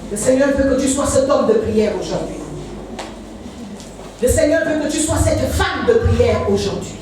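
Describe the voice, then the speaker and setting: Fervent high-voiced woman pastor, echoey church. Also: animated, normal speed, background noise. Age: 50s. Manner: fervent, frequent hallelujahs, sing-song rises. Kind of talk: preaching a sermon. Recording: echoey church hall, voice from far off.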